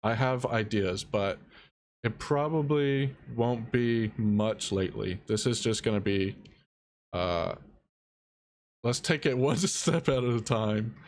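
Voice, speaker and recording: A young man streaming, soft-spoken and halting, explaining audio editing and answering chat. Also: medium pitch, slight background noise. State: dry mouth. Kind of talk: casual live-stream tutorial.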